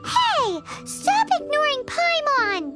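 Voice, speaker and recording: A woman voicing a tiny, cheeky floating fairy companion in a video game, with a squeaky childlike voice and a bubbly, blunt manner. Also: huge pitch swings, takes it slowly, heavily acted. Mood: indignant. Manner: bubbly, blunt, refers to self in third person. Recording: music behind the voice.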